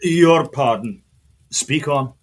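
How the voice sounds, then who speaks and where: A skeptical period doctor in an audio drama. His voice is high for a man and rough-edged with huskiness. He argues formally and emphatically. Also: slow. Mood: restrained. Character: incredulous, rational doctor arguing with his patient.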